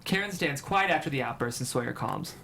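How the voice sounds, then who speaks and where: A man's voice: medium pitch, animated, a little fast, gravelly, bright, forceful tone. A man reading stage directions as narrator at a table read, with dramatic storytelling delivery.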